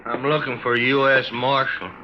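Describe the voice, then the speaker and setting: A man's voice: medium pitch, level tone, normal speed, lightly acted. A man acting a terse, grim cattle trail hand in an old-time radio western. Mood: terse, guarded. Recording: muffled, noisy old radio recording.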